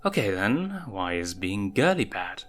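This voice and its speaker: A man plays a motherly lioness huntress from a storybook, measured and firm with a teasing edge. The pitch moves about in a lively way, and music plays softly behind. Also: heavily acted. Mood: stern, probing. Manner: measured, probing questions, firm. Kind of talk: storybook character reading.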